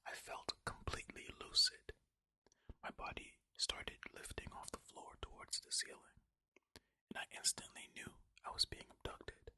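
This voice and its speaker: An ASMR host speaking in a breathy, unvoiced whisper close to the microphone, reading and musing aloud in conversational asides. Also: moderate pace, sharp tone, no room sound. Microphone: close ear-to-ear stereo microphone.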